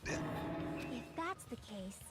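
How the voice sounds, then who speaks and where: A young investigator from an anime game, serious and thoughtful. Her voice is high. Game music is clearly audible behind it. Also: big pitch swings, extremely slow, exaggerated voice. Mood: pensive. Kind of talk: anime video game voice acting.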